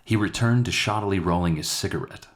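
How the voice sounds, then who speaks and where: A man's voice: low voice, fast. A man reading a scripted dramatic short story aloud as its narrator. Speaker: author narrating his own story.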